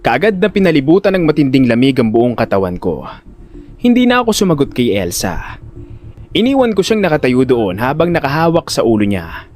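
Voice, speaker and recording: A man's voice: very animated, a little fast, natural voice. A man playing an anxious, protective older brother who tells his suspense story in first person, with dramatic narration and acted dialogue. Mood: tense. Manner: dramatic storytelling, exasperated and high-pitched in dialogue.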